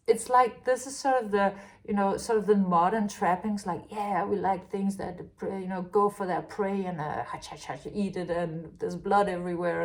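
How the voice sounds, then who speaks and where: A woman from Denmark talks her way through a spiritual tarot reading. Her voice sits at a middle pitch, and she muses out loud, restarting her phrases and stumbling through fillers as she goes. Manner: halting, many restarts and fillers, musing. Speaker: tarot reader.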